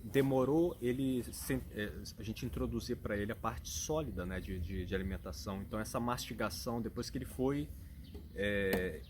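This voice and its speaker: A man's voice: fairly low pitch, moderate pace. Earnest Brazilian father, noisy recording. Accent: Brazilian Portuguese. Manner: thoughtful, earnest, searching for words. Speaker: father and vlogger. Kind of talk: casual explanatory talk.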